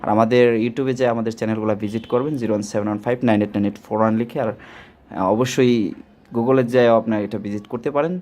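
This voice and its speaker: A man's voice: mid-range voice, steady tone, very fast, a little mellow. A man with a Bangladeshi accent giving an informal, loosely improvised product demonstration, repeating himself and reaching for filler words. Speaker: product presenter for a walkie-talkie seller.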